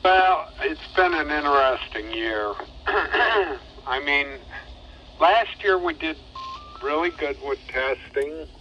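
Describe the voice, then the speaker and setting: A man's voice: a little high, lively intonation, takes it slowly, long pauses, bright, forceful tone. Man on ham radio, casual and meandering, muffled transmission with faint noise. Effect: two-way radio transmission. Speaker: amateur radio operator, volunteer examiner.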